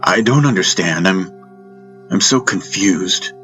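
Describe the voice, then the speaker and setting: A humble, troubled warrior pupil in a fantasy audiobook voiced by a male narrator. He is low-pitched, hesitant and pleading, stumbling over his words, with faint music underneath. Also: takes it slowly. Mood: confused.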